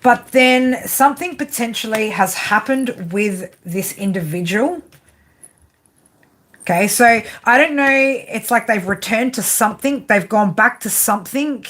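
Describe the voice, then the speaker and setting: A woman's voice: on the high side, expressive, a little slow, fairly bright tone. A woman with an Australian accent doing a live tarot reading, rambling, swearing casually and using lots of fillers. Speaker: tarot reader.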